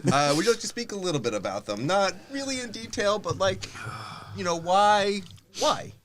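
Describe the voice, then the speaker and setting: A man's voice: high voice, huge pitch swings, fast, a bit bright. A man co-hosting a podcast with casual banter, in a shared studio with faint noise behind him. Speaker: podcast co-host.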